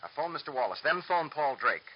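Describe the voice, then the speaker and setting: A man playing a commanding, methodical lawyer-sleuth with an American accent in a radio drama, giving crisp, orderly instructions. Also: fairly high pitch, very animated, fairly quick. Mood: urgent, commanding. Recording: dull old radio recording, faint hiss.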